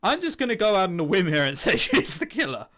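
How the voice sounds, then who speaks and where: Male game commentator, bright and high, incredulous and joking, music underneath. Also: huge pitch swings, fast talker. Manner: incredulous, jokey exclamations. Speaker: game streamer host. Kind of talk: commentary while reading a visual novel aloud. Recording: music playing behind. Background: game background music.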